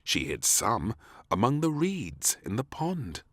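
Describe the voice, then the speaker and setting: A man narrating a scripted children's story in an expressive, deliberate storytelling manner. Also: on the low side.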